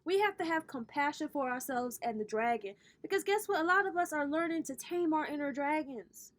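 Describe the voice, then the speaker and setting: A woman's voice: sits high, animated, fairly quick. A woman in her thirties doing a casual tarot reading vlog as a spiritual coach, with a sassy, playful way of talking that drops swear words. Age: 30s.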